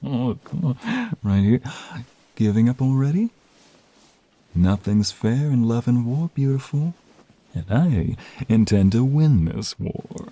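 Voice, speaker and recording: A man performing an ASMR romantic roleplay as an affectionate, teasing mobster husband and young father, in a breathy, husky voice with intimate, drawn-out delivery. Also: mid-range voice, very animated, dark tone, exaggerated voice. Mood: playful, teasing. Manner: intimate, drawn-out, playful delivery with sighs and murmurs.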